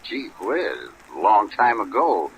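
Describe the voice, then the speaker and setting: An actor playing a casual, wary insurance adjuster in a 1950s radio drama, folksy and a little hesitant. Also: male voice, fairly low pitch, big pitch swings, takes it slowly, a bit bright, lo-fi sound, exaggerated voice. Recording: noisy old radio recording, rain.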